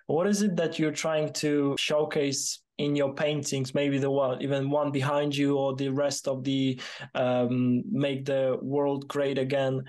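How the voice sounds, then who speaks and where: Young Polish man, very even pitch. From Poland. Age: 20s. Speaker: interviewer. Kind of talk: conversational video interview. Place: small room, indoors, video call.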